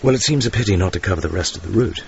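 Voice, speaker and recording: A suave British secret agent in a radio play. His voice is low and creaky, his delivery clipped, cool and understated, on a muffled recording. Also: very fast, darkish tone, a little hiss or hum. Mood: guarded, deferential. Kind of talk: radio drama acting.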